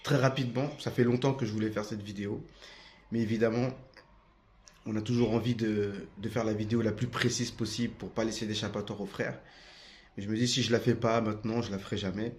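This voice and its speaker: A man's voice: lowish voice, steady tone, fairly quick, darkish tone. A young man from France giving an unscripted, defiant monologue to camera, with many hesitations and restarts. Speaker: religious video commentator.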